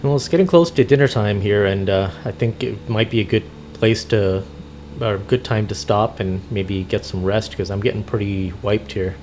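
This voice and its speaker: A man's voice: fairly low pitch, normal speed, a little mellow, a little hiss or hum. A man doing casual, hesitant improvised roleplay commentary while playing a trucking game. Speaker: host playing a truck driver character.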